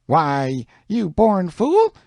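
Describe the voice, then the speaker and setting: A man's voice: high-pitched, sing-song, moderate pace, mellow, no echo, clean studio sound, pitched above the performer's own voice. A man playing a scolding old farm wife. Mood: indignant. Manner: scolding, indignant, folksy. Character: sharp-tongued older Southern farm woman. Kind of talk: audiobook character voice.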